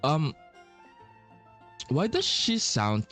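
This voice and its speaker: A man's voice: a little high, wildly swooping pitch, extremely slow, fairly bright tone. A man giving casual gaming commentary, hesitant and muttering, with some swearing. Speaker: game streamer. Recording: game music behind voice. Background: game music.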